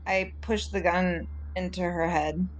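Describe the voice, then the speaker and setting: Slow-talking woman playing a tabletop game. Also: lowish voice, steady tone, muffled recording, a little hiss or hum. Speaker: player in a tabletop roleplaying game. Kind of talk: in-character game play, slow and deliberate.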